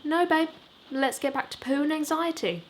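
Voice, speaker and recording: Woman narrator as thirtyish female band manager: raised pitch, breezy, brisk, offhand, audiobook. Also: animated, a little hiss or hum.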